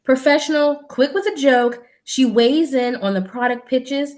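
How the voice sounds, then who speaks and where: A woman's voice: sits high, measured pace, a little mellow. A woman reading scripted character introductions for an audio play.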